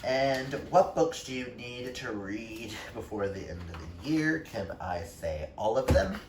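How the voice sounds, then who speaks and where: A man's voice: mid-range voice, animated, unhurried. A man talking casually and expressively to viewers in a book vlog, informal and given to emphatic exclamations. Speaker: book YouTuber. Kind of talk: casual chatty vlog talk to camera. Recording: roomy echo, distant mic, faint noise.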